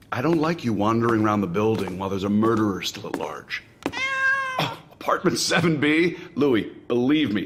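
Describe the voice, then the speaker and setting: A man's voice: medium pitch, background noise, lightly acted. A man playing a fussy, polite, mild-mannered professor in a conversational audio drama, fretful and apologetic.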